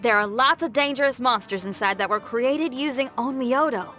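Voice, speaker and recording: Bright, high-pitched young rocker. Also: female voice, moderate pace, faint music behind. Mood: friendly, upbeat. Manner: spirited, folksy, plain-spoken. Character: spirited young female rock musician, friendly, upbeat, plain-spoken. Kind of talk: game cutscene acting.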